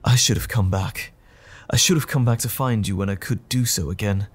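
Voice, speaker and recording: A man playing a polite, guilt-ridden gentleman teacher in an audio drama, speaking earnestly and hesitantly in formal phrases, with a breathy, husky voice. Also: lowish voice, normal speed. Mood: remorseful, earnest. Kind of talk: audio roleplay drama acting.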